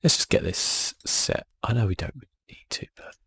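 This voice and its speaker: A man giving relaxed, casual ASMR game commentary in a soft, breathy, half-whispered voice, musing to himself as he plays. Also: normal speed. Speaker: gaming channel host.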